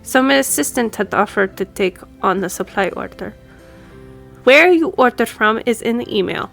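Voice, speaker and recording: A woman's voice: on the high side, lively intonation, normal speed, vocal fry, fairly bright tone, soft background music, heavily acted. A woman playing a friendly, businesslike law firm boss in an audio roleplay, speaking conversationally to the listener. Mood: brisk, helpful. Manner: businesslike, conversational, lightly teasing. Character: brisk, friendly female law firm boss giving instructions.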